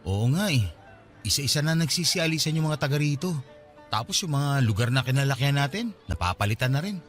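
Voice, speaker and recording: A man's voice: mid-range voice, animated, brisk, faint music behind, natural voice. A man playing an easygoing, settled guy in his late twenties, talking with a friend in a radio drama. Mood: wistful. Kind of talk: Tagalog radio drama dialogue.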